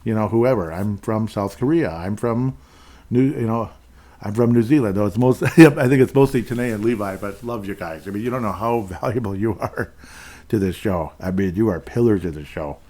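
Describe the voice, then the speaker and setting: A man's voice: fairly low pitch, normal speed, darkish tone. A man hosting a sports podcast on his own, chatting casually and rambling as he thanks listeners by name. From Minnesota. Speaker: podcast host. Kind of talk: casual solo podcast chat.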